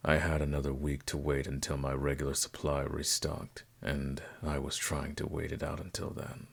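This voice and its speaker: A man playing a suave, courteous vampire nobleman in a scripted ASMR roleplay, speaking formally and softly in a gravelly voice. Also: full character voice. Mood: confessional, subdued. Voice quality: very deep, gravelly. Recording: music playing behind the voice.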